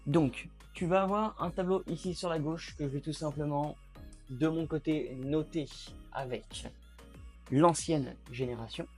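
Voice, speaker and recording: A man's voice: fairly high pitch, a little slow, soft background music. A man in his late twenties talking casually to the viewer as he explains ideas at a whiteboard, reflective and direct. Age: late 20s. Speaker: YouTuber.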